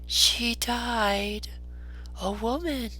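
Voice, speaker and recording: A man voicing a gentle, ethereal ghost with a soft, breathy, light voice and very slow, measured delivery in a storybook reading. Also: very high voice, pitched above the performer's own voice, full character voice. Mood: solemn, wistful. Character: gentle, ethereal ghost spirit speaking slowly and softly.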